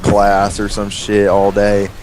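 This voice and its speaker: A young American man with a fairly low voice tells stories casually and profanely over game voice chat. He rambles and gets animated acting out what people said. There is clear noise in the recording. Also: slow pace. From United States. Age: young adult. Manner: rambling, animated retelling with acted-out quotes. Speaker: storyteller among friends playing a game.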